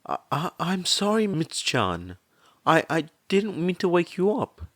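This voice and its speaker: A man's voice: high-pitched, very animated, measured pace, dry recording, clean studio sound, pitched above the performer's own voice. A man voicing an anxious, tearful, apologetic mother in an audio story, stammering and shaky. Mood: apologetic, shaken.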